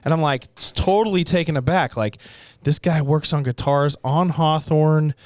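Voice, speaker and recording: A man's voice: a little high, normal speed, a little mellow, dry recording, studio quality. A man from the Portland area, co-hosting a podcast in casual chat, rambling through stories with many restarts and fillers. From Portland, Oregon area. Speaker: podcast co-host, gun shop staff.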